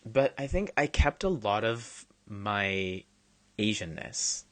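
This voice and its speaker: A young man from China who grew up in Canada, co-hosting a casual podcast chat with a halting, restart-heavy way of talking and easy laughs. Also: on the low side, expressive, fairly bright tone, dry recording. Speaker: podcast co-host.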